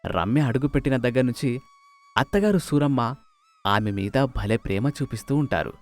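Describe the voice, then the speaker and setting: A man's voice: mid-range voice, expressive, normal speed, darkish tone. A man narrating a scripted moral story as a storyteller, recorded close on the mic. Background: music.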